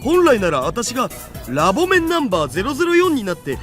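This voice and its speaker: An actor playing a teasing, nerdy young otaku in a Japanese visual novel, exaggerated and mocking. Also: male voice, very high-pitched, huge pitch swings, racing, a bit bright, soft background music, full character voice. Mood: teasing, mock-jealous. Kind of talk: Japanese anime game voice acting.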